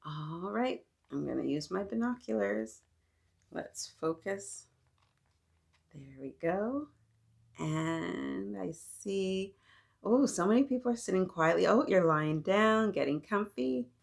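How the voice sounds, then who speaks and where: A woman's voice: mid-range voice, animated, slow pace, creaky. A woman hosting storytime, chatting interactively with young children in an encouraging, playful manner.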